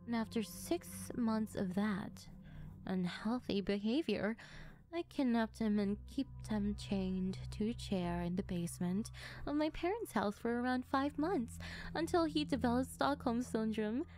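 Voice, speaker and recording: A woman playing a sweet, doting middle-aged mother who is a calmly menacing kidnapper, speaking in a sugary, unhurried ASMR roleplay style. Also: a little high. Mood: calm, confessional. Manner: sugary, unhurried, calm about disturbing things.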